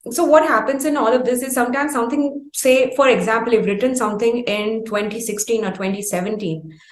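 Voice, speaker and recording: A woman with an Indian accent interviewing at length, phrasing her questions in a wordy, halting, reflective way. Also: a little high, fairly even intonation. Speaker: interviewer, host. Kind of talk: conversational long-form music interview. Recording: echoey, roomy sound.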